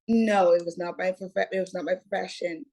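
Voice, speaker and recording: A Bahamian woman in her twenties, a guest telling her story in a casual, unhurried interview. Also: lowish voice, fairly even intonation, creaky, warm tone. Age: 20s. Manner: unhurried, repeats "what had happened was".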